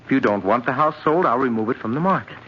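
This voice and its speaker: An actor playing a patronizing, scheming nephew in a radio drama, polite, placating and emphatic. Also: male voice, medium pitch, expressive, fast talker, close to an everyday voice. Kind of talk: old-time radio drama acting. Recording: muffled, noisy old radio broadcast.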